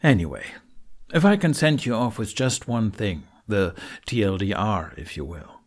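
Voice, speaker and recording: Lively, informal man narrating a tutorial. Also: lowish voice, moderate pace. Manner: informal, wry asides. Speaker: tutorial presenter.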